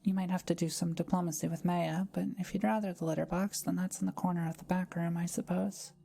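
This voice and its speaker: A woman playing a kind, soft-spoken forest witch in an intimate audio roleplay, talking to the listener in gentle, formal, old-fashioned wording. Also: on the low side, level tone, fast talker, vocal fry. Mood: warm, hospitable.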